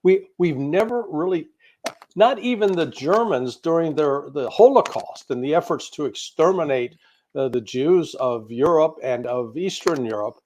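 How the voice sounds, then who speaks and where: A man's voice: on the high side, a little mellow, slight background noise, rough recording. An American man speaking as a guest commentator in a political interview, opinionated and emphatic, piling up points in lists. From United States. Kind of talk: spoken political analysis in an interview.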